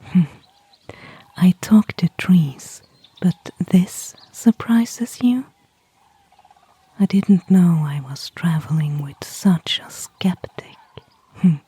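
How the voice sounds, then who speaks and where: A woman playing a gentle, thoughtful elven ranger in an ASMR fantasy roleplay, speaking in a soft, breathy, intimate whisper. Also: on the low side, dry recording, exaggerated voice. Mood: earnest, gently teasing. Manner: slow, hushed, intimate, unhurried. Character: gentle, thoughtful female elven ranger, kindly toward a human.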